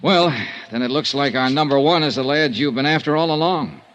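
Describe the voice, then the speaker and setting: A man's voice: on the high side, a little fast, a bit bright, dull audio, lightly acted. An actor playing a dry, wry male insurance investigator in a 1950s radio drama, narrating in a clipped report style. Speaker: narrator and lead.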